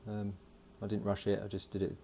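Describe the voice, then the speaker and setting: A man casually talking through hands-on electronics work, unhurried and thinking aloud. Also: on the low side, monotone, mellow, slight background noise. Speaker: host of an electronics build video. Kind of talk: casual hands-on tutorial narration. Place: indoors at a workbench.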